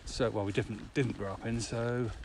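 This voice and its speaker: A man's voice: medium pitch, steady tone, moderate pace, a little mellow. A man, chatting casually as a podcast co-host. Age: 50s. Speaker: podcast co-host, business owner. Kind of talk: casual conversational podcast chat. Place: outdoors at dusk. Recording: clearly noisy outdoor background.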